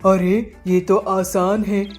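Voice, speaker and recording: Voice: medium pitch, little pitch movement, slow, a little mellow, close to an everyday voice. A performer playing a humble, repentant bandit in a cartoon moral story, earnest and pleading. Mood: grateful, earnest. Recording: music behind the voice.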